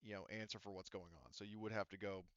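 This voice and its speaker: Smooth-voiced man running a tabletop game. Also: on the low side, steady tone, fast, a bit bright, dry recording, highs cut off. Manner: rambling, filler-heavy explaining. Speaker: game master. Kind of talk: casual tabletop game narration.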